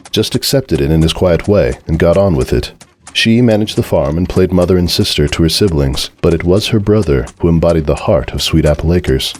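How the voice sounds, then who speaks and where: A man's voice: low voice, a little mellow, slight background noise. A man reading a scripted story as narrator, in a dramatic storytelling style.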